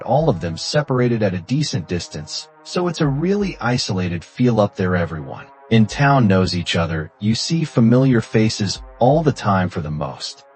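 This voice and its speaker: A man with a fairly low voice narrates a scary story in the first person, as a scripted read. Music plays under his voice. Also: darkish tone. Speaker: horror story channel narrator.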